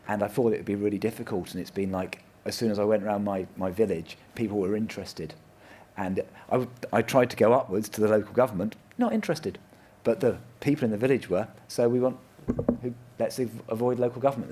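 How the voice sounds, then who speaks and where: A man speaks off the cuff on a conference panel. His voice sits low and has a rough, husky texture. He sounds earnest and often doubles back to repeat or restart a phrase. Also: a little mellow. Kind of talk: unscripted panel answer. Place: live conference event.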